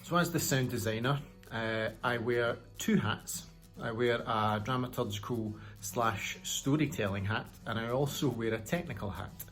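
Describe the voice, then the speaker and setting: A man's voice: mid-range voice, normal speed, a bit bright, faint music behind. A man working as a sound designer, explaining his work in an interview style.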